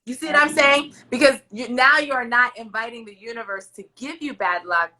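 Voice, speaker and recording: A woman hosting a podcast, talking loudly and emphatically in an upbeat, encouraging way, with a raspy voice. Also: a little high, brisk, a little hiss or hum, poor audio. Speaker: podcast host. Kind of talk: encouraging casual interview chat.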